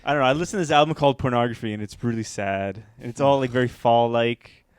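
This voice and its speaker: A man's voice: mid-range voice, fast talker, smooth, no echo. A man on a podcast, chatting casually and getting fired up defending the music he likes.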